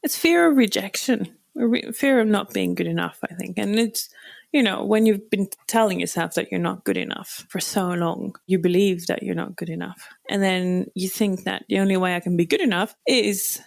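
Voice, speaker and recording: A woman from Norway, a non-native English speaker, talking reflectively as a podcast guest, often pausing and restarting her sentences. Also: medium pitch, gravelly, dry recording. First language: Norwegian. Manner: thoughtful, hesitant, restarts sentences. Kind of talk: reflective interview talk.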